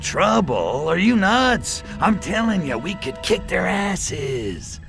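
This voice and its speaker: An actor playing a crude, wisecracking alien invader in a video game, with a sarcastic, slangy American delivery. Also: male voice, high-pitched, sing-song, slow pace, creaky, heavily acted. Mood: exasperated, mocking. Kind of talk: video game cutscene acting. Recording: loud music behind voice.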